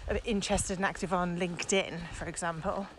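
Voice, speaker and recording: A woman's voice: lowish voice, steady tone, a little fast. A woman co-hosting a casual podcast chat, speaking with enthusiasm and emphasis. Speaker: podcast co-host, virtual trainer. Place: outdoors, walking in the countryside. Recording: outdoor walking recording with ambient noise.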